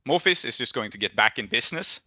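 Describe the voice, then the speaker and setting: A man's voice: mid-range voice, animated, quick pace, bright, forceful tone, dry recording. A man running a tabletop game as game master, casually talking through his rulings and explaining his reasoning.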